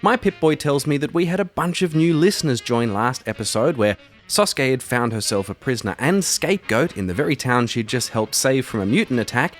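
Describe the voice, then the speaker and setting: A man's voice: medium pitch, expressive, brisk, soft background music. A man hosting and narrating a solo role-playing audio drama, moving between scripted storytelling and casual commentary.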